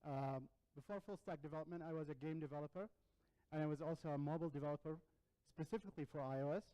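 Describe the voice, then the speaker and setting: A man, presenting his software projects earnestly and restarting words as he goes. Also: fairly high pitch, fast talker, smooth, low-quality recording. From Iraq. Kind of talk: capstone demo presentation.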